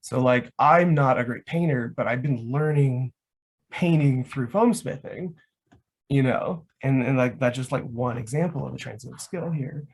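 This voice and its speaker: A man's voice: mid-range voice, normal speed, gravelly, breathy edge. A man with a mid-range voice speaks as a guest in a casual, unscripted chat. He is enthusiastic about his hobby and rambles, dropping "like" into many of his sentences. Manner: enthusiastic, rambling, frequent "like" as filler. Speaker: guest, LARP foam crafter.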